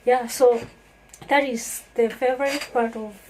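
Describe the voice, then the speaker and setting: A woman's voice: on the high side, fairly even intonation, unhurried, a little mellow, slight background noise, poor audio. A woman from Kenya, talking earnestly and reflectively to her viewers in a casual vlog. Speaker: vlogger. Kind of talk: casual talk to camera.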